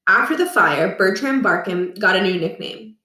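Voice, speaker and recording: A woman reading a children's storybook aloud with expressive, deliberate delivery in a roomy space. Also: mid-range voice, distant mic. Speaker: read-aloud reader. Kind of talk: scripted storybook narration. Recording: roomy, echoing room.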